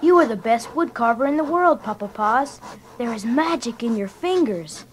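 A woman's voice: a little high, animated, moderate pace, close to an everyday voice. A woman playing an eager, earnest, hot-tempered young boy in a children's story drama. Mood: eager, admiring.

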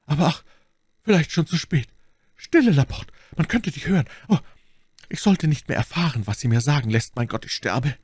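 A man's voice: on the high side, animated, moderate pace, darkish tone, husky, no room sound, clean studio sound, pitched above the performer's own voice. A man voicing a wounded, dying English duke in an audiobook, imperious and pleading, with a faint, strained voice. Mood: desperate. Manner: exclamatory, pleading, impatient. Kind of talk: dramatic audiobook character reading.

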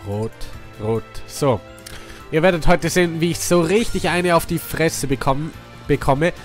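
A young man with a cold, casually commentating a video game he is playing, jumping between excited outbursts and quiet mumbling. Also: unhurried. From Austria. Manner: excitable, swings between hyped shouts and low mumbling. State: congested from a cold. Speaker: gaming channel host. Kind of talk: casual let's play commentary. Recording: game music playing behind.

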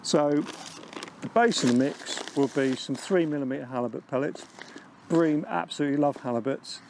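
A middle-aged Englishman with a gravelly, mellow voice explains his approach at a calm, steady pace. He sits outdoors beside a lake and speaks into a clip-on mic. Faint outdoor noise sits behind him. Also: on the high side. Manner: measured, explanatory, talks with his hands. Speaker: angling expert. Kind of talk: instructional piece to camera. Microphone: lapel mic.

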